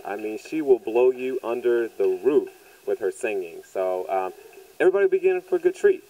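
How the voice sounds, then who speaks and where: A man's voice: mid-range voice, lively intonation, fairly quick, mellow, low-quality recording. A man in his thirties from southern Louisiana, a playwright and producer answering interview questions conversationally on a handheld mic. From southern Louisiana, United States. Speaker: stage play producer and writer. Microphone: handheld microphone held by interviewer.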